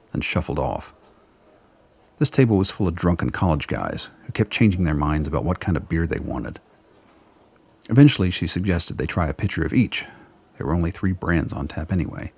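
A man's voice: very deep voice, vocal fry, husky, no echo. A man reading scripted third-person narration for a radio play.

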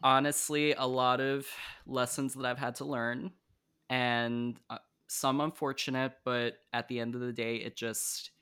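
A young man in his twenties hosts a casual podcast interview, talking eagerly and restarting his sentences as he goes. His voice sits at a middle pitch for a man. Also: flat delivery, a little slow, pushed and bright, clean tone. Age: 20s. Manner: chatty, enthusiastic, with frequent restarts. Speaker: podcast host.

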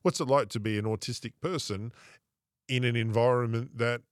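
A man's voice: medium pitch, fairly even intonation, brisk, clean tone, no echo, clean studio sound. A man with an Australian accent hosting a podcast interview, giving short affirmations and asking rambling questions. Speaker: podcast host.

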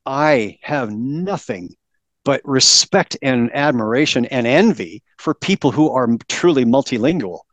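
A man of middle pitch, speaking as a podcast guest. He lays out his points emphatically, like a lecture. The sound is dry and close. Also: animated, unhurried, dry recording. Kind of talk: interview, explaining a presentation.

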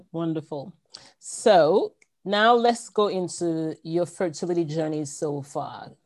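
Host: woman from Nigeria, very low voice, casual interviewer who laughs easily. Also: lively intonation, slow, a little mellow. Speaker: podcast host.

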